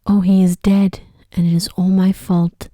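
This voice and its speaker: A woman voicing a tender, kind-hearted young heroine, soft and earnest, in an ASMR storybook reading. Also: fairly low pitch, level tone, measured pace, no room sound, studio quality. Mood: grieving.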